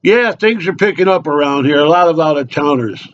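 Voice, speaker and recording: A man's voice: a little high, expressive, a little fast, dull audio, voice pushed up, close to an everyday voice. A man playing a talkative, oversharing small-town local at a bar in a rambling, eager dramatic monologue. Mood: nosy, friendly.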